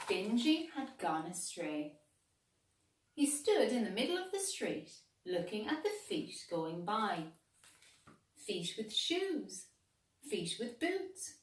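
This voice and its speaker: An Irish woman reads a children's story slowly, with an animated lift and fall in her voice. She sounds like a teacher telling a tale, deliberate and expressive. The room echoes around her. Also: medium pitch. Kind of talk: children's storybook read-aloud. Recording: echoey room, distant microphone.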